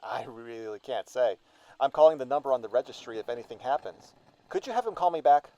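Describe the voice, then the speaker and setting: A man acts out a businesslike male clerk in an audio drama. He talks quickly and procedurally at a medium pitch. His voice comes through dull, like a phone call. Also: animated, mellow, natural voice. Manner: formal, procedural. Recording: dull, band-limited phone-call sound.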